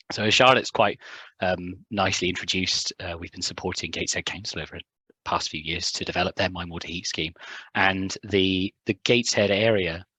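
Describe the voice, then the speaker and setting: A young man, a hydrogeologist, giving a conference talk in a hoarse, recovering voice, hesitant with many ums and restarts. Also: sits low, fairly bright tone, no echo. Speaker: hydrogeologist presenting at a symposium. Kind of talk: conference talk with filler words.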